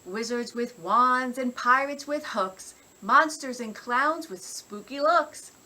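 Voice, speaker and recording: Slow, bright-voiced woman reading verse. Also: on the high side, rough recording. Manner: expressive, measured storytime delivery. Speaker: library director reading a poem. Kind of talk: festive children's poetry reading.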